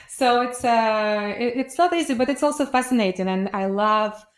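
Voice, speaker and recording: A woman's voice: a little high, unhurried. A woman in her thirties from Ukraine with a Ukrainian accent, an enthusiastic interview guest who talks casually with fillers and restarts. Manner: enthusiastic, many fillers and restarts, laughs often.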